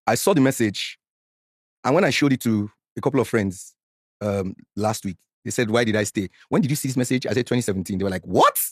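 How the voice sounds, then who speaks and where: A Nigerian man in his forties telling a painful personal story as a podcast guest, animated and slipping into Pidgin when worked up. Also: a little fast, dry recording, studio quality. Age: 40s. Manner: animated, mixes Pidgin, gets loud and high when worked up.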